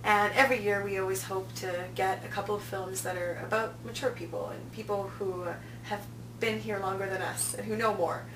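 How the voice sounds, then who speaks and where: A woman speaks in a casual promotional interview, conversational and emphatic on key words. Her voice is mid-pitched and heard from a distance in a roomy space, with noticeable noise behind her. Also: fairly even intonation, a little fast. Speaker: interview guest, film festival programming director. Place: indoor room, on-location video interview. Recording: roomy, distant mic, clear background noise.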